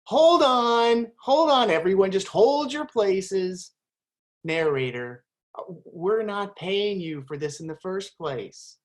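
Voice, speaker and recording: A woman's voice: medium pitch, lively intonation, slow pace. A woman playing a flustered, stammering stage manager who scolds the narrator in a community stage play. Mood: exasperated. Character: flustered female stage manager trying to keep the show going.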